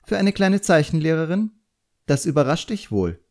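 A man's voice: a little high, very animated, darkish tone, voice pushed up. Man voicing a composed, frank woman drawing teacher. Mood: curt, pointed. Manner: instructive, measured, pointed.

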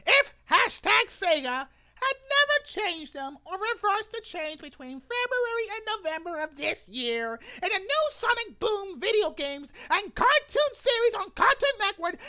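Man acting high-pitched, melodramatic indignant protester. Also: sing-song, unhurried, hardly any pauses, pushed and bright, heavily acted. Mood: outraged. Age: young adult. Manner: melodramatic, pompous, stilted. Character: whiny, indignant, pompous young male internet protester, self-righteous. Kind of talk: mock dramatic reading. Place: living room at home, indoors.

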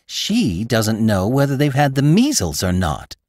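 A man voicing his curious, sharp-tongued wife in a read-aloud story. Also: medium pitch, wildly swooping pitch, unhurried, no echo, studio quality, voice pushed up. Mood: pointed.

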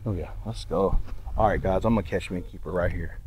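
A man's voice: low voice, a little hiss or hum. A man hosting a fishing vlog from a boat by a dock, exclaiming and laughing as he talks. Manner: excitable, exclaiming, quick laughs. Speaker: fishing channel host. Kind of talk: excited outdoor fishing vlog.

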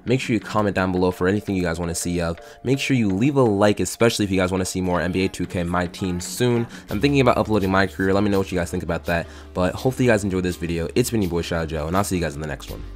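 A man's voice: low-pitched, rapid-fire, hardly any pauses, soft background music. A young man giving casual voice-over commentary on his game footage, rambling with lots of fillers and restarts. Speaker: gaming YouTuber.

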